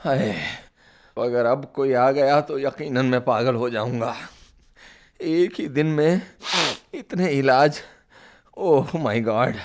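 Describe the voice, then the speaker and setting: A man's voice: mid-range voice, slow, long pauses, a little mellow, natural voice. A man acting a radio play role as an exasperated sick man, theatrical and sarcastic, groaning out his complaints. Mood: groaning despair. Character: exasperated sick man fed up with visitors' remedies.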